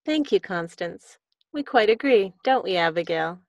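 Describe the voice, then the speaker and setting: An older woman acting in a radio drama as a smooth-talking Edwardian lady con artist, gushing and breezy. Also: mid-range voice, lively intonation, normal speed, no echo, dull audio, natural voice. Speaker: radio play actor. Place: indoor room on a video call.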